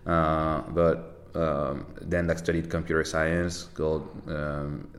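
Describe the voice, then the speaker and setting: A Frenchman in his thirties speaks in a very low, deep register. He talks loosely and easily, padding his thoughts with fillers and restarting sentences as they come to him. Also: takes it slowly. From France, Paris. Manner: relaxed, rambling with many fillers and restarts, laughs easily. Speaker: podcast guest, tech entrepreneur. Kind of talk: casual interview chat.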